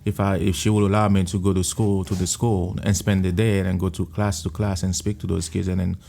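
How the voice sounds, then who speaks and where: A man from Haiti with a Haitian accent shares his life story in an interview at a restaurant. His voice is low and warm with a gravelly edge. He speaks haltingly and earnestly, circling back and repeating words. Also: little pitch movement, a little hiss or hum. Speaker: restaurant owner and missionary.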